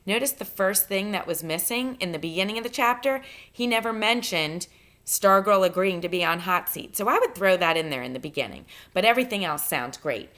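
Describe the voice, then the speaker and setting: A woman's voice: mid-range voice, lively intonation, fairly quick, a bit bright. A woman reading a novel aloud as a teacher and explaining it directly to her students.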